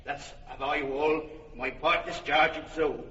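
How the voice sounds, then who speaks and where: An actor playing a solemn amateur player who presents himself as a wall in ponderous, over-deliberate comic verse. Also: male voice, steady tone, bright, forceful tone. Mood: solemnly earnest. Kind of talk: classical comic stage verse acting. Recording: distant, muffled echoey hall with rumble.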